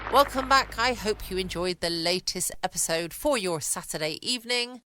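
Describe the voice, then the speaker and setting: A woman's voice: mid-range voice, lively intonation, normal speed, noisy background. A woman hosting a bedtime podcast in a chatty style. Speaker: podcast host.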